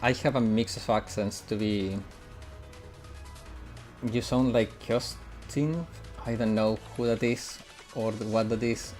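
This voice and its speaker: A man's voice: lowish voice, steady tone, slow, pauses often, a little mellow, faint music behind. A man from Italy with a mixed British and American English accent, chatting casually on a live gaming stream and answering viewers' questions as he plays. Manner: chatty, answers chat while playing.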